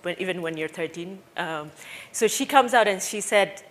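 A woman from Bangladesh tells her own story from a stage, speaking into a headset mic. Her voice sits a little low, and she talks in an earnest, reflective way, pausing often with small fillers as she searches for the words. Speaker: speaker giving a talk. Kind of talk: personal storytelling talk on stage. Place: stage before a seated audience in a dark hall.

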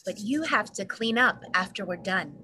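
A woman's voice: mid-range voice, lively intonation, moderate pace, sharp tone, slightly rough. A woman playing a cautious, sceptical early human woman in a dramatic play reading. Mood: wary, scolding. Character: first Woman, cautious, sceptical, gently scolding. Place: room at home, on video call.

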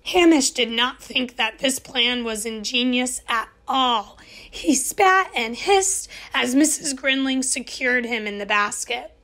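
A woman reads a children's picture book aloud at story time. Her voice sits high, and she takes an unhurried pace. She stretches key words gently for young listeners. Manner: gentle, expressive storybook reading with slow emphasis. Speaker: storytime reader.

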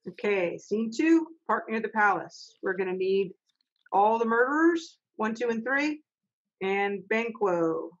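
A woman's voice: mid-range voice, expressive, unhurried, highs cut off. A middle-aged woman, reading stage directions as narrator of an amateur readers' theater over a video call. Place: home office on a video call.

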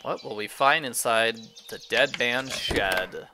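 A man's voice: mid-range voice, slow, background noise. A man giving casual, jokey game walkthrough commentary, musing aloud as he plays.

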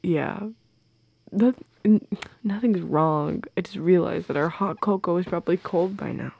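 A young woman in an intimate girlfriend audio roleplay, speaking softly and close to the mic with playful, teasing affection. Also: lowish voice, unhurried, vocal fry, slightly rough. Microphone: close microphone, dry.

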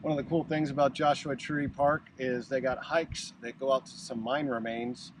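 A middle-aged American man hosting a travel vlog outdoors, giving casual, halting tour commentary to a camera a few feet away. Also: medium pitch, fairly even intonation. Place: outdoors in open desert. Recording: outdoor camera audio with clear background noise.

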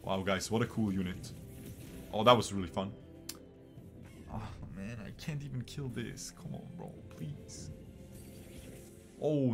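A young man from Bosnia, raised in Switzerland, commentates his own game live, chatty and loose, often repeating himself. He speaks into a desk mic in a small room. Faint game music plays under his voice. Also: medium pitch. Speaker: streamer.

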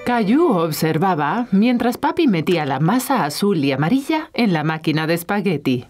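A woman's voice: on the low side, big pitch swings, normal speed, darkish tone, soft background music. A woman narrating a children's cartoon as a kindly storyteller, reading scripted lines. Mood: calm storytelling. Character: kindly storyteller narrating a little boy's day.

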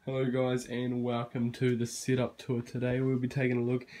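A young man giving a casual, slightly hoarse tour of his bedroom gaming setup, swearing offhandedly, filmed on a handheld phone. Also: medium pitch, completely flat delivery, normal speed, over music. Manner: laid-back, swears casually, rambling asides. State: losing his voice, clearing throat. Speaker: gaming channel host. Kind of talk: casual room tour vlog. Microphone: handheld phone camera.

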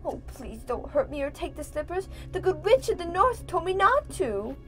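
A woman's voice: very high-pitched, very animated, moderate pace, faint music behind. A woman playing an innocent, anxious, sweet young girl in a family audio drama, earnest and full of worried exclamations. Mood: pleading.

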